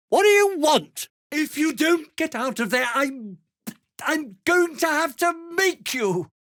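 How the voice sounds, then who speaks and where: An actor playing a pompous, jittery, self-serving merchant in a video game, speaking with a British accent in a haughty, indignant manner that falters nervously. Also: male voice, high-pitched, fairly bright tone, no room sound, studio quality, exaggerated voice. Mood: irritated, impatient. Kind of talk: video game dramatic acting.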